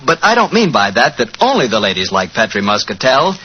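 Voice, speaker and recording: A man hosts and sells as a 1940s radio announcer. His voice is bright and swings with lively emphasis through a noisy vintage recording. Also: mid-range voice, a little fast, lo-fi sound. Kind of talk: 1940s radio ad pitch and hosting.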